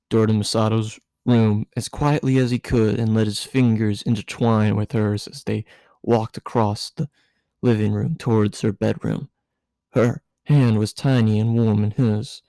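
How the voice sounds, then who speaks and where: Amateur male story narrator, slow and dark-toned, halting read with stumbles. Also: fairly low pitch, flat delivery, no room sound, studio quality. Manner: halting, stumbling over words. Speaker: fan fiction reader.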